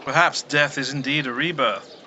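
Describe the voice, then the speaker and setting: An actor playing an earnest gentleman detective with a formal, old-fashioned, solemn manner in a radio drama. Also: mid-range voice, moderate pace, slight background noise. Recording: loud music bed.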